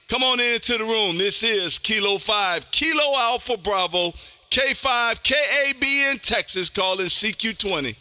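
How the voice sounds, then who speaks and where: A high-voiced American man with a very bright, forceful delivery hosts a ham radio livestream. He is loud and exuberant, hammering phrases for hype as he calls for contacts. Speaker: amateur radio livestream host.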